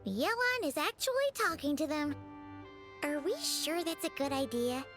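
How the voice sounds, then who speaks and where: A woman voicing a small, excitable fairy companion girl in a video game, with a squeaky, childlike voice and a fretful manner. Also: normal speed, over music. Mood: curious, wary. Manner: excitable, refers to herself in third person. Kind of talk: video game character acting.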